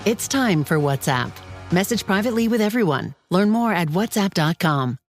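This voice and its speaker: A woman's voice: fairly low pitch, lively intonation, moderate pace. A woman reading a scripted commercial as a voice-over. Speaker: advertisement voice-over. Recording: music bed behind voice. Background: music.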